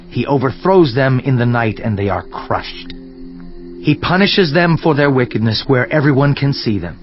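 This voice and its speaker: Male actor as indignant ancient preacher, declamatory and swinging in pitch, dramatized scripture over music, dull audio. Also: natural voice. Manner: declamatory, preaching, rhetorical questioning. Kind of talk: dramatized scripture reading, oratorical. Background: background music.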